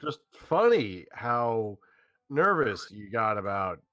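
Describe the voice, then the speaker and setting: A man's voice: fairly low pitch, sing-song, slow, fairly bright tone, dry recording, exaggerated voice. A man reading a nervous teenage boy in a staged reading, stammering and hesitating through his lines. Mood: flustered.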